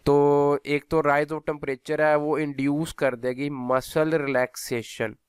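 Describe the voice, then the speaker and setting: A man's voice: mid-range voice, clear, resonant tone, no echo, studio quality. A man giving an instructional physiotherapy lecture, explaining in a teacherly way and mixing in English technical terms. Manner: switches between Hindi and English technical terms.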